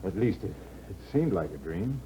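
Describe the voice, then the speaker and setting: An actor playing a haunted young aristocrat who stammers after waking from a nightmare, in an old radio drama. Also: male voice, low-pitched, big pitch swings, normal speed, dark tone, a little hiss or hum. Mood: shaken. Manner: shaken, hesitant, stammering. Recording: muffled 1950s radio broadcast.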